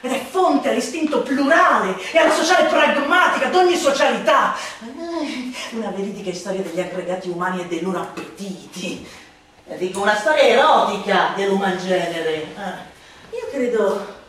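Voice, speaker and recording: A woman playing a sardonic, scornful satirical orator in a theatrical radio monologue, declamatory and emphatic, moving between hushed asides and loud outbursts. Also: mid-range voice, expressive, moderate pace, close to an everyday voice. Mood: emphatic, haranguing, then confiding, sardonic. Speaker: actress performing a literary monologue. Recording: reverberant room, distant voice, faint noise.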